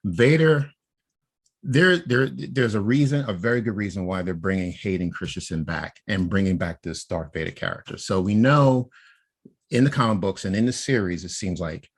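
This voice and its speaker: Low husky man explaining theories. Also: normal speed. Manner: explains theories, often checks in with "right?". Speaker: podcast co-host. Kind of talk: casual fan discussion.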